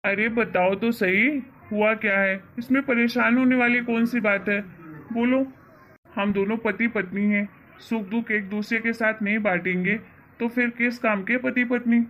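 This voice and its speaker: A woman's voice: a little high, steady tone, moderate pace, lo-fi sound, lightly acted. A woman voicing a caring husband and father in a cartoon family drama, coaxing and reassuring as he asks questions. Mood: concerned, coaxing.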